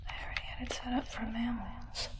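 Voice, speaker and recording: A woman playing a curious, clinical alien technician in an ASMR roleplay, whispering breathily and questioning in a hushed, muttering way. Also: a little high, steady tone, brisk, close to an everyday voice.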